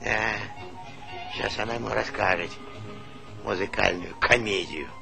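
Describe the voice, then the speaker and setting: An actor playing a nosy, sly old village grandfather with a creaky voice, feigning innocence in a stage comedy. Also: fairly low pitch, unhurried. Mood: sly, grumbling. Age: older. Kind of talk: theatrical stage comedy acting. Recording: old Soviet theatre recording with music.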